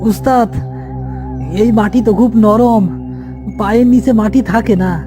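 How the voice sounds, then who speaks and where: A man's voice: sits high, steady tone, measured pace, over music, pitched above the performer's own voice. A man voicing a frightened young gravedigger's helper in a horror cartoon, whining and pleading in panic. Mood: nervous. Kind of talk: horror cartoon story acting.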